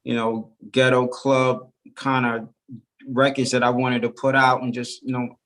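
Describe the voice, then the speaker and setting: A man's voice: mid-range voice, flat delivery, unhurried, fairly bright tone. A man, a rapper guest from Brooklyn talking loosely in a casual interview, full of fillers. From Brooklyn, New York. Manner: laid-back, loose, full of "you know".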